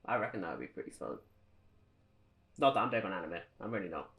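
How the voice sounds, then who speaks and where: A man's voice: sits low, sing-song, quick pace, creaky, fairly bright tone. A British man co-hosting a podcast, chatting casually about films in an opinionated, sardonic, ranting way. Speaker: podcast co-host. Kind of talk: casual film review chat.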